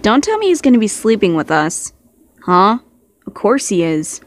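A woman's voice: medium pitch, sing-song, normal speed, close to an everyday voice. A woman voicing fond, easily flustered young men with a sighing, mildly exasperated manner in an amateur comic dub.

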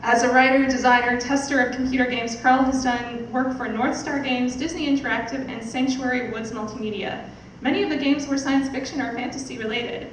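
A young woman introduces a guest from the stage, reading from prepared words. Her voice is fairly high, caught from far off in a very reverberant room with noticeable noise. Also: fairly even intonation, a little fast. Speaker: event host introducing a speaker. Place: theatre stage, indoors. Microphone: stage mic on stand, picked up by distant camera. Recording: echoey, noisy hall from far off.

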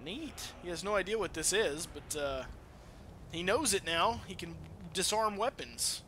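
A man's voice: a little high, slight background noise. A man giving casual, profane gameplay commentary as a let's play host. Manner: profane, animated trash-talk.

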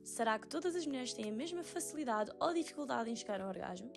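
High-voiced woman presenting. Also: lively intonation, quick pace, gravelly, over music. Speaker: video presenter. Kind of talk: presenting an interview video.

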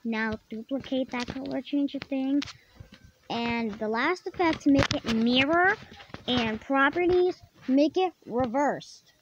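A tutorial host casually explaining software steps in a halting way, often repeating and rephrasing. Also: high-pitched. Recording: dull lo-fi microphone, clearly noisy.

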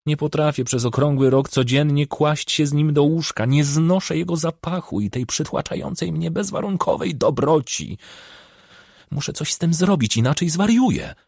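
A man's voice: a little high, normal speed, creaky, darkish tone, dry recording, studio quality, voice pushed up. A man voicing a troubled, discontented young woman with a complaining, pleading manner in an audiobook. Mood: exasperated, desperate.

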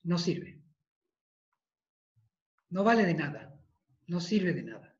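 A man in his thirties with a Tucumán Argentine accent, a priest giving an emphatic devotional talk over a video call, repeating phrases for weight and clearing a tired throat. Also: high voice, very animated, takes it slowly. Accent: Tucumán Argentine Spanish. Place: study with bookshelves, indoors. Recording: roomy, dull video-call audio.

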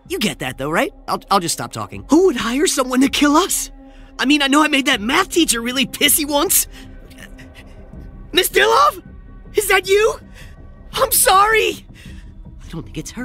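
A man's voice: very high-pitched, pushed and bright, full character voice. A male actor voicing a nerdy, nervous young survivor in a video game, with a stammering, self-deprecating, rambling delivery. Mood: awkward, rambling. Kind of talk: video game character voice lines.

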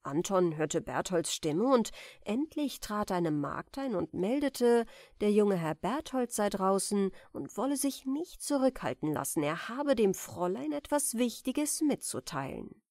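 Gentle, expressive woman reading a bedtime tale. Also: mid-range voice, no echo, studio quality. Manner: soft, deliberate, expressive. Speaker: audiobook narrator reading fairy tales.

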